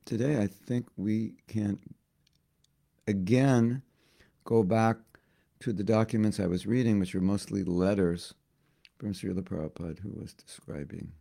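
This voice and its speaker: Give me a hoarse man with a dark, gravelly voice giving a devotional talk. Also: lowish voice, steady tone. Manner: halting, repeats words while thinking. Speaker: spiritual teacher.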